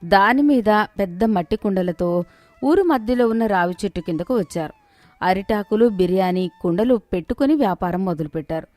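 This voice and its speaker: A woman giving scripted narration for an animated moral story in a steady storytelling manner. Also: mid-range voice, normal speed, no echo.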